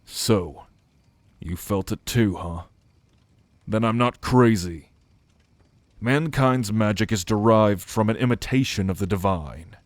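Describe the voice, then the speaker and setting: A man acting a brooding, dutiful ancient celestial being in an ASMR audio roleplay, speaking in a measured, formal, pensive way. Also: on the low side, no room sound. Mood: grave, pondering. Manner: measured, pensive, formal phrasing with deliberate pauses.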